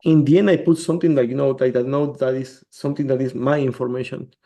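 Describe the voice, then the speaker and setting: A man walking through a software demo step by step on a screen share, often restarting his words. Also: mid-range voice, fairly even intonation, fast talker. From El Salvador. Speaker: developer presenting a demo.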